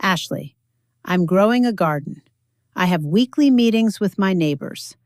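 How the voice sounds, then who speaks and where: A woman as an unflappable, deadpan older mother. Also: mid-range voice, unhurried, no echo, studio quality, close to an everyday voice. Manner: deadpan, precise, matter-of-fact. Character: unflappable older mother, retired teacher and gardener.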